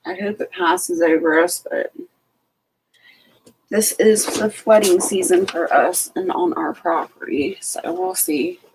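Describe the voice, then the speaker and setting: A woman's voice: on the low side, expressive, slow, creaky, darkish tone, slight background noise, poor audio. A sleepy woman hosting a late-night casual live stream from home, greeting each viewer by name. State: sleepy, just out of bed. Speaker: homestead channel host.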